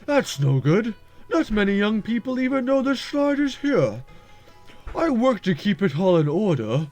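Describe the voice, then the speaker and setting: A man voicing a friendly older shrine priest in a visual novel, speaking loudly with drawn-out emphasis. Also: high voice, very animated, voice pushed up, heavily acted. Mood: earnest, chatty. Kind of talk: character voice in a visual novel read-through. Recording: music behind the voice.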